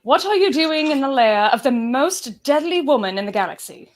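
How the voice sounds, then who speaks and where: Woman playing a deadpan, teasing space villainess. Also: sits high, moderate pace. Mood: cool, taunting. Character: cool, teasing, deadly female space-opera villainess. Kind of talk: live comedic script table read.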